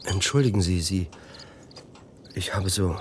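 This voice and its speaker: An actor playing a troubled, brooding man in a radio drama, halting and searching for words. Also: sits low. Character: troubled, brooding man musing about other realities. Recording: audible background noise.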